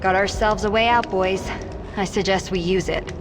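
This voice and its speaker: A woman's voice: medium pitch, fairly even intonation, normal speed, noisy background, close to an everyday voice. An actress voicing a tough, sardonic mutant woman in a video game, dry and self-assured. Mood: confident. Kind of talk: video game character dialogue. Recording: voice under game music.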